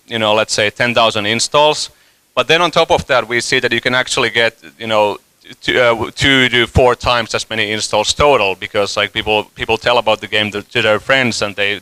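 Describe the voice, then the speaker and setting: A man's voice: mid-range voice, pushed and bright, clean tone, no room sound. A man in his thirties giving a casual, joking conference talk, with many fillers and restarts. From Finland. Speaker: conference speaker, company CEO. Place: conference hall stage.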